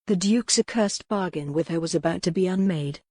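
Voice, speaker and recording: A woman reading a historical romance audiobook as scripted, expressive dramatic narration. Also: lowish voice, brisk, husky, no echo, studio quality.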